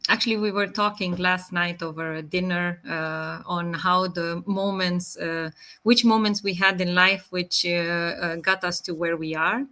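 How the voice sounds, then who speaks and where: A woman in her thirties with a Romanian accent, answering interview questions in a measured way with many pauses and fillers. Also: on the low side, fairly even intonation, bright, forceful tone. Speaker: guest. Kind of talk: interview answers, online live session.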